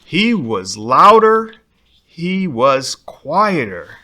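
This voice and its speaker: A man's voice: on the high side, very animated, drawn-out words, bright, forceful tone. A man reading a children's picture book aloud expressively, putting dramatic emphasis on key words. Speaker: storybook reader.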